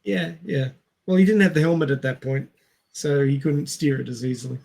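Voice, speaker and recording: A man's voice: on the high side, a little fast, gravelly, a little mellow. A man talking casually on a discussion podcast, explaining things in a dry, understated way. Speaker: podcast co-host or guest.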